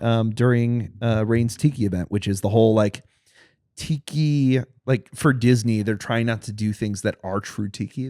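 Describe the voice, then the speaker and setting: Male podcast co-host; low, warm voice, easygoing filler-heavy delivery, dry mic. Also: no echo. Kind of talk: casual chat.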